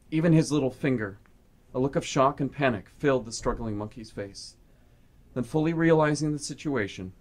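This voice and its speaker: A man reading scripted, dramatic story narration for a radio play. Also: mid-range voice.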